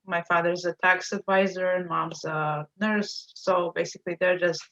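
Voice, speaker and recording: A woman's voice: fairly low pitch, steady tone, moderate pace, a bit bright. Serbian lady chatting on a muffled call, kinda hesitant, lots of ums. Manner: hesitant, many fillers and restarts. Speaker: agency owner, guest. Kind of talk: casual interview talk.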